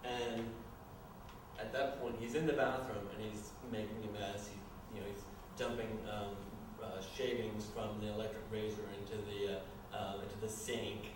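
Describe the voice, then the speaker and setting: Male live narrator, low-pitched, halting and explanatory, recorded far off in a very echoey, noisy room. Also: little pitch movement. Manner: halting, explanatory, apologetic asides. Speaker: narrator reading stage directions at a staged screenplay reading. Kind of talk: informal live narration of scene action. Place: live staged reading in a reverberant room.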